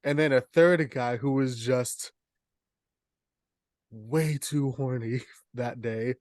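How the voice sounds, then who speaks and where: A man's voice: medium pitch, slow pace, a little mellow, dry recording, clean studio sound. A young man co-hosting a podcast, telling stories in casual, humorous chat. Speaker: podcast co-host.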